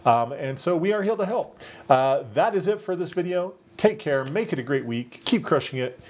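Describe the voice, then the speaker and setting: A man's voice: on the high side, animated, normal speed, a little mellow. Upbeat man with a radio-type voice. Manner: upbeat, persuasive, many fillers. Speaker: marketing coach for real estate agents. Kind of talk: casual talk to camera.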